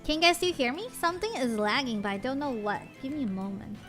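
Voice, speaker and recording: A woman's voice: high-pitched, expressive, quick pace, music in the background. A woman streamer chatting casually and giggling, switching between English and Japanese. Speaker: VTuber streamer. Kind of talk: casual live-stream chat.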